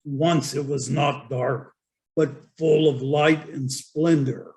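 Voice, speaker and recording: A man playing a proud, gruff dwarf warrior in a fantasy script read, with emphatic delivery. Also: fairly high pitch, fairly even intonation, slow pace, darkish tone.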